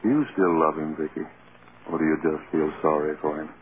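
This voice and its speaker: An actor playing a loyal, protective film studio boss, speaking with earnest concern in 1930s radio style. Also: male voice, a bass voice, fairly even intonation, fairly quick. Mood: sympathetic. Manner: solicitous, earnest. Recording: scratchy, tinny 1930s radio broadcast.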